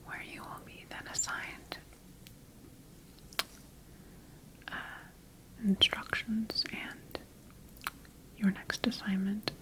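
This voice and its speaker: A woman's voice: mid-range voice, natural voice, poor audio. Whispering, clinical woman playing a female scientist. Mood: hushed, matter-of-fact. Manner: hushed, measured, procedural. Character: calm, clinical female scientist tending her bioengineered creation. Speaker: ASMR performer. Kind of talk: whispered ASMR roleplay.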